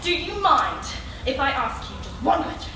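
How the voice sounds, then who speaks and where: A woman's voice: high voice, normal speed. A woman playing a besotted, pushy superhero fan, gushing and repeating herself on an amateur stage, recorded distantly in an echoey hall. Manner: gushing, repetitive, excitable. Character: obsessive, hysterical female superfan, besotted and pushy. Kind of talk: amateur stage play acting. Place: indoor stage in a large room. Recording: echoey hall from far off, audience noise.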